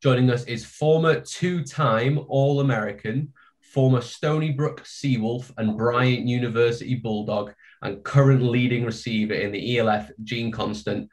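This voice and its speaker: A man hosting a sports podcast, giving friendly, steady introductions and asking questions. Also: mid-range voice, normal speed. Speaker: podcast host. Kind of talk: hosting an interview.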